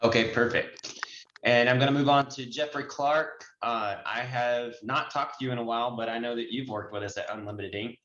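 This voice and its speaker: A man's voice: medium pitch, fairly even intonation, moderate pace, fairly bright tone. A man in his forties coaching people conversationally on a group video call, chatty and fond of illustrating points with examples. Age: 40s. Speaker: host and notary trainer. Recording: muffled, roomy video-call audio.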